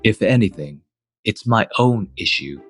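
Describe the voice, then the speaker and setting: A man playing a mild, wistful adult guardian, narrating a manga dub in a measured, reflective way. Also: on the low side, lively intonation, fairly bright tone, no echo, soft background music. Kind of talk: scripted first-person manga dub narration.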